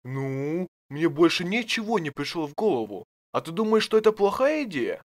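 An actor voicing an easygoing, absent-minded daddy pig in a children's cartoon, musing and hesitant in an expressive way. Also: medium pitch, normal speed, natural voice. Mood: mild, hesitant.

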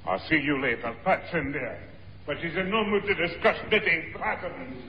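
A man's voice: on the high side, lively intonation, moderate pace, a little hiss or hum, lightly acted. A man playing a householder in a 1960s radio sitcom. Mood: wry. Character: householder making a quiet escape upstairs. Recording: muffled old radio show, hall, audience.

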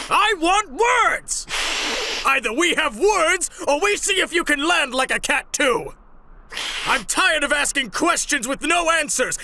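A man's voice: high voice, vocal fry, bright, forceful tone, full character voice. A man voicing a big, middle-aged hero in a comic dub, exasperated and theatrically emphatic. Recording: music behind.

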